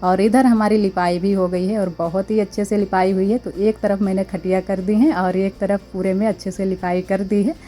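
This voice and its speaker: A young woman with a sore, slightly hoarse throat, chatting casually as she narrates her daily vlog about household chores at her village home. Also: mid-range voice, level tone, moderate pace, hardly any pauses, warm tone. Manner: chatty, homely, thanks viewers. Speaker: vlogger. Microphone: clip-on mic.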